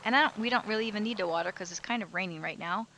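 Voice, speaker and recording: A woman's voice: medium pitch, rapid-fire, gravelly, sharp tone, a little hiss or hum. A woman casually commenting as she plays a life-simulation game, thinking aloud and giving her characters directions. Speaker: let's play host.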